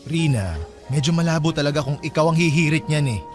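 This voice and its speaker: A man's voice: on the high side, brisk, soft background music, natural voice. A man playing a polite but flustered peacemaking eldest son in his late twenties in a Filipino radio drama. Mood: calming, polite.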